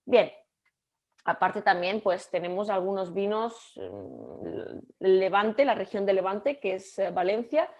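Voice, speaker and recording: Catalan woman, young adult, online lecture, halting delivery, video call audio. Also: mid-range voice, very animated, a little mellow. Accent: Catalan Spanish. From Catalonia, Spain. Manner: halting, many restarts and fillers. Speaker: guest lecturer.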